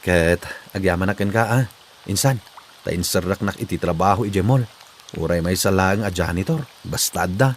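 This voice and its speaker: A man's voice: low voice, moderate pace, a little hiss or hum, lightly acted. A man with an Ilocano Filipino accent playing a dutiful, earnest young provincial son in a drama, subdued and agreeable. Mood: hopeful. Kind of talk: amateur drama dialogue.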